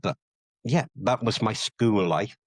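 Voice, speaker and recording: Nervous, halting man, low voice. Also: no echo, clean studio sound. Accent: English-sounding. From Wales. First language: Welsh. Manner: nervous, hesitant, many ums. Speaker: podcast co-host. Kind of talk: casual chat.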